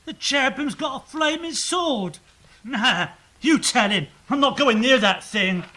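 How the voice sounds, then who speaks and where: An actress playing a brisk, unimpressed celestial worker with casual bluntness in a stage drama. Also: female voice, high-pitched, expressive, pushed and bright, exaggerated voice. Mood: blunt, impatient. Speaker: stage actor. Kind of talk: theatrical stage drama acting.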